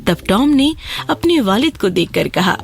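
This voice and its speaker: A woman's voice: medium pitch, sing-song, gravelly. A woman narrating a scripted fairy tale for children as a storyteller. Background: background music.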